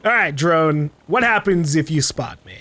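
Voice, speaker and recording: A man's voice: fairly high pitch, animated, measured pace, pushed and bright. A man giving casual let's play commentary, playfully rambling and thinking aloud as he goes. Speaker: gaming video host. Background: faint game sounds.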